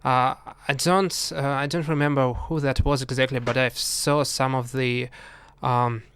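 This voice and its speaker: Gravelly-voiced man. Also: mid-range voice, level tone, a bit bright, a little hiss or hum. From Russia. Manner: halting, many fillers and restarts. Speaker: podcast co-host. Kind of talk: casual chat.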